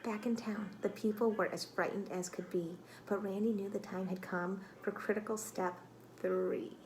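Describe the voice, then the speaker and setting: A woman reading a picture book aloud to children like a teacher, expressive and dramatic, with exclamations and prompting questions. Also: mid-range voice, normal speed, rough recording. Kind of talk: read-aloud storytelling with questions to young listeners.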